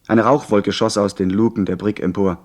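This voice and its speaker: Male actor as earnest young gentleman narrator, lowish voice, literary storytelling, radio play. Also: steady tone, normal speed, lightly acted. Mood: tense. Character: earnest young gentleman recalling his pirate adventure. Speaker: first-person narrator. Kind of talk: scripted dramatic narration.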